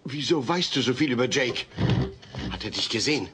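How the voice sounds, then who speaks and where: A man's voice: medium pitch, expressive, fairly quick, bright, forceful tone, slightly rough, exaggerated voice. An actor playing an earnest, middle-aged family father, hesitant, formal and long-suffering, in a sitcom audio play. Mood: exasperated. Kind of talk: German-dubbed sitcom audio play acting. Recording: noisy old cassette audio play.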